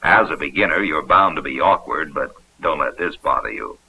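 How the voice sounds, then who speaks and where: A man's voice: a bass voice, animated, moderate pace, gravelly, pushed and bright. An American man reading scripted narration for a 1970s instructional film in a folksy, upbeat, explanatory manner. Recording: dull, noisy vintage 16mm film soundtrack.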